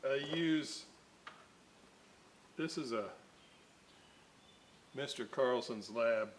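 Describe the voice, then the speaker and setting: A man talks through his repair work at an indoor electronics bench, drifting into side stories and filling gaps with "uh." His pace is slow and unhurried, his pitch sits in an ordinary middle range, and a faint hiss lies under the recording. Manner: rambling, many fillers and side stories. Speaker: electronics restoration hobbyist host. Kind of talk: casual workbench narration.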